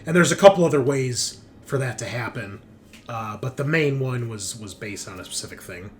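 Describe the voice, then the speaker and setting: A man's voice: mid-range voice, expressive, moderate pace, a little hiss or hum. A man running a tabletop game as game master, narrating the story in a casual but dramatic way. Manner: casual recapping with dramatic read-out passages. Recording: music behind the voice.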